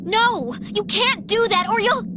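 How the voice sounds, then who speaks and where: An actress playing a desperate, devoted younger sister in an English anime-style video game, with an emotional, pleading manner. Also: female voice, very high voice, unhurried, background noise. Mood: urgent pleading.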